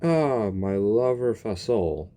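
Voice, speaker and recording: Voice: mid-range voice, animated, slow pace, mellow, slight background noise. A gamer giving casual, playful and slangy reaction commentary over a game's story. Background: game music.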